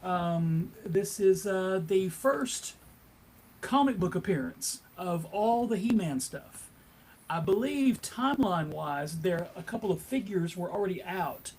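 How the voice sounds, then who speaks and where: Husky, halting man co-hosting a panel. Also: high-pitched, lively intonation, a little mellow. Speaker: co-host, convention track director. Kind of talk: casual panel chat.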